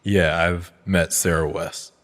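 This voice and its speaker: An actor playing a calm, community-minded young man in an audio drama, measured, analytical and sincere. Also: low voice, long reverb tail.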